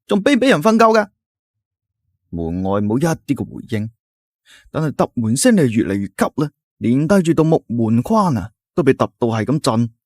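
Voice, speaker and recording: A man with a Cantonese accent narrating a folk tale in the first person, telling it in a scripted, dramatic and expressive way. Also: mid-range voice, normal speed, dry recording, studio quality. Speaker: storyteller.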